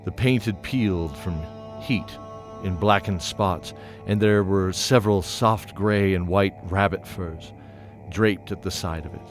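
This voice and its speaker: A man giving dramatic scripted narration of a fantasy tale, measured, pausing between short phrases. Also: sits low. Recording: loud music under the voice.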